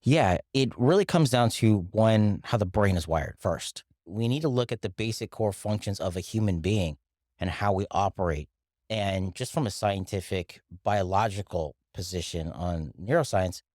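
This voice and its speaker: A middle-aged man from the Philippines talks as a guest about mindset and wellness. His voice sits low, and he speaks earnestly, slowing down to stress points. The recording is dry and close. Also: darkish tone, no room sound. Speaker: interview guest, wellness coach. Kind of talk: reflective interview talk.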